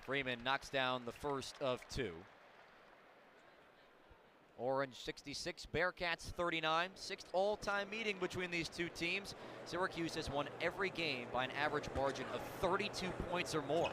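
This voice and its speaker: A man's voice: fairly high pitch, moderate pace. An American man doing live radio play-by-play of basketball, with clipped, descriptive calls. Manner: clipped, descriptive calls that rise on big plays. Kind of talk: live sports commentary. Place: indoor basketball arena. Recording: clear arena noise, bouncing basketballs. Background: game noise and basketball bounces.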